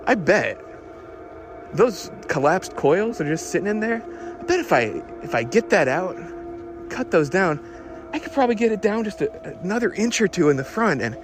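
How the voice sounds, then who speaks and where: An actor playing an obsessed, increasingly unhinged car tinkerer recording a rambling, halting audio diary for an audio drama. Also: male voice, fairly high pitch. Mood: eager, fretful. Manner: halting, nervous, repeating himself, muttering fixations. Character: obsessed man secretly lowering his car, increasingly unhinged.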